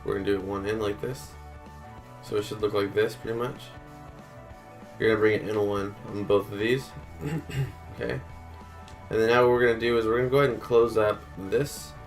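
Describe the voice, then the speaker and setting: Man, low gravelly voice, slightly sick, laid-back tutorial host. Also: brisk, long pauses, soft background music. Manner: relaxed, counts blocks aloud, many fillers. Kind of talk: casual step-by-step tutorial.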